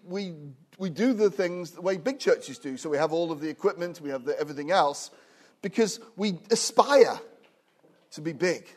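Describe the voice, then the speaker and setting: A man in his fifties, a guest preacher in a small church, speaking with jovial asides and growing animated as he preaches. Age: 50s. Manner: animated, rising loud and high when preaching; hushed, soft prayer. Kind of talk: sermon. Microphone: own recorder, no microphone.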